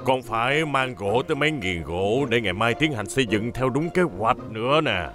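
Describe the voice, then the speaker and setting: A man as an animated kindly older builder. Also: medium pitch, moderate pace, over music, lightly acted. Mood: apologetic, instructive. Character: kindly older male builder, fatherly and responsible. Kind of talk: dubbed children's cartoon acting.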